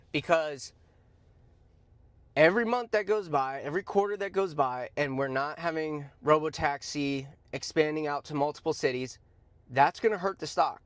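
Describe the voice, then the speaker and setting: A man's voice: a little high, no room sound. A man giving informal, opinionated commentary in a blunt, emphatic, conversational way, with slangy asides and points repeated for stress. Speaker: host of a stock commentary show.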